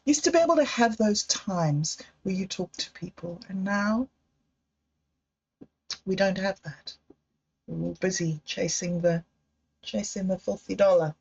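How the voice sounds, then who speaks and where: A woman hosting a livestream, talking slowly and candidly in a meandering personal monologue. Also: mid-range voice, gravelly, darkish tone, slightly rough. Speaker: livestream host. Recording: dull lo-fi livestream audio.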